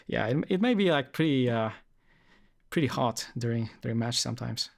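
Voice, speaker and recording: A man's voice: medium pitch, animated, moderate pace, creaky. Young male streamer from Poland, easygoing rambling chat, full of fillers, mid-range voice. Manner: rambling, many fillers and restarts. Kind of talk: casual live-stream chat.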